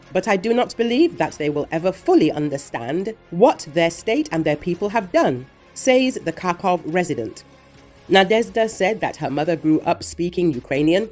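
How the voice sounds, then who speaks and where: A woman reading a scripted news report in a measured, even voice, relaying quotes from others. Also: lowish voice. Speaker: news narrator. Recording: voice-over with faint music underneath.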